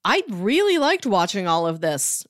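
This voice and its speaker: A young adult woman co-hosting a podcast in relaxed, conversational chat. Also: mid-range voice, normal speed, dry recording, studio quality. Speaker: podcast co-host. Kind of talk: casual chat.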